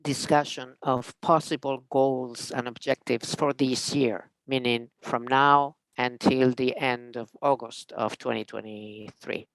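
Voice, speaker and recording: A middle-aged woman from Spain with a Spanish accent, chairing a public meeting in a halting, deliberate way. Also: rumbling low, steady tone, no room sound, poor audio. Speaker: commission chair.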